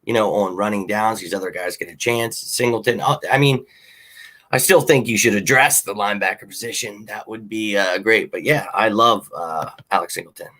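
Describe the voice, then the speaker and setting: A man hosting a casual sports fan show, rambling and opinionated with lots of ums, his voice slightly hoarse. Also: on the low side, normal speed, sharp tone. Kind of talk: casual sports talk with viewer comments.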